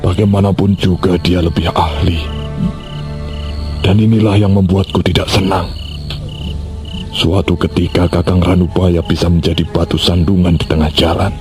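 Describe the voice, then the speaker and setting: A man's voice: low-pitched, level tone, normal speed, pauses often, over music, lightly acted. An actor playing a bitter, scheming father in a radio drama, grumbling as he talks. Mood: brooding. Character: brooding scheming father, a weaponsmith.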